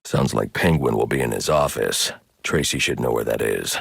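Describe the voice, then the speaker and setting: Dark masked crime fighter, bottomless bass pitch, thick gravelly rasp, grim, menacing, terse, dramatic video game delivery. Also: male voice, expressive, moderate pace, no room sound, clean studio sound, heavily acted. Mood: focused, brooding. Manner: stern, terse, intimidating. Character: grim, brooding, menacing adult male masked vigilante detective.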